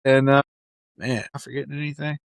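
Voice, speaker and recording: A man's voice: medium pitch, fairly even intonation, fast, gravelly, clean tone, dry recording. Casual male podcast host, dry sound. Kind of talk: casual, unscripted podcast talk.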